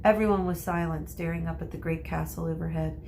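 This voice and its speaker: A woman teacher reading a children's novel aloud in a deliberate, explanatory way. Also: deep voice, steady tone, creaky, darkish tone, slight background noise. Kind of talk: storybook read-aloud.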